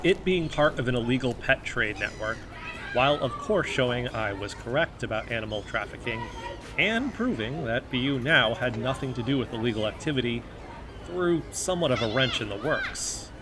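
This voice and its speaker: A man's voice: medium pitch, animated, moderate pace, lightly acted. An actor playing an earnest, brooding amateur investigator who narrates his own conspiracy-minded story in an audio drama. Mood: musing. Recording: faint background noise.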